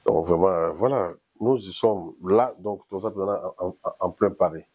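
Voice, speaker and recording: A man from Congo talks as the guest in a relaxed interview. His voice is husky and warm. He rambles loosely and mixes French with Lingala as he goes. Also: low-pitched, measured pace. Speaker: guest musician. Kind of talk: casual interview chat. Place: in Paris.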